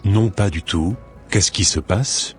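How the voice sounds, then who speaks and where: Man acting a devout stern pastor, very deep voice, solemn, preachy, soft music under. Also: slow pace, breathy edge, heavily acted. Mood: concerned. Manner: solemn, preachy, fervent in prayer.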